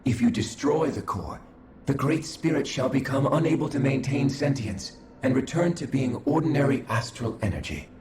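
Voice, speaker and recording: A man's voice: mid-range voice, animated, moderate pace, noisy background, close to an everyday voice. A man voicing a cold, clinical observer. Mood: detached. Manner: formal, detached, clinical. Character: cold calculating male observer entity. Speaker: game character. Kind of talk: video game cutscene acting. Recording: echoey, with game music behind.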